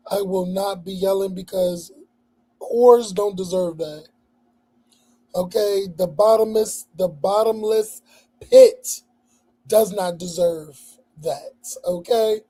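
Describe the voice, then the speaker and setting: A man hosting a casual livestream, hoarse, speaking bluntly with a lot of profanity and repetition. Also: high-pitched, slow, pauses often, warm tone, rough recording. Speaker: livestream host.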